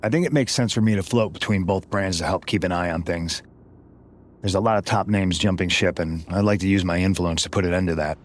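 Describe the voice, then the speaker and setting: A man playing a wry, grudge-holding veteran pro wrestler in a video game cutscene, speaking in a measured way. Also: low-pitched, close to an everyday voice.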